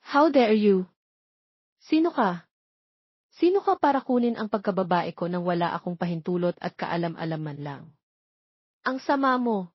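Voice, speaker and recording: A woman voicing an indignant, tearful, sharp-tongued young pregnant single woman in a dramatized romance story. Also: medium pitch, big pitch swings, normal speed, pauses often, slightly rough, no echo, lo-fi sound. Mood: outraged.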